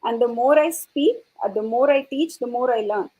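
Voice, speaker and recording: A woman with a high but very soft-edged, mellow voice speaks as a panelist, explaining ideas. There is a clear huskiness to her tone, and she pauses mid-thought as she lays out her points. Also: lo-fi sound. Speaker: panelist, educator.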